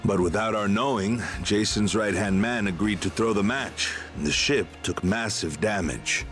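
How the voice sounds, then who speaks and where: Man voicing earnest loyal pirate crew guy in a game, low voice, explains stuff, music behind. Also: normal speed, fairly bright tone, lightly acted. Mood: somber. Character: earnest, loyal veteran male pirate crewman. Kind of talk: video game voice acting.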